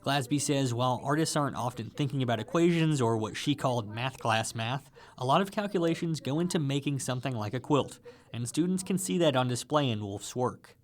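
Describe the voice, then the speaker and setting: A man's voice: mid-range voice, expressive, a little fast. A man narrating a scripted radio feature as a reporter.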